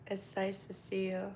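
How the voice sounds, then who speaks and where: A woman with a cold, stuffed up and nasal, chatting casually to her livestream viewers from home in a soft voice that slips into half-whispers. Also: mid-range voice, slight background noise. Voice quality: congested, nasal. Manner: soft-spoken, drifts into half-whispers, many fillers. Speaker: livestream host. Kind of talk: casual live-stream chat.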